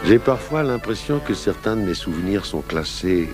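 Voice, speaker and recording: An actor playing a seasoned police commissioner who narrates an old case in a measured, reflective way for a radio drama. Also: male voice, lively intonation, music in the background. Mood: reflective, sombre. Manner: measured, reflective, literary phrasing. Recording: hissy 1980s radio recording, low hum.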